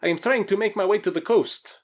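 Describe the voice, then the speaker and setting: A man's voice: high-pitched, a little fast, pitched above the performer's own voice. A man voicing a starving, desperate Russian in an adventure storybook, pleading and indignant with a strained manner.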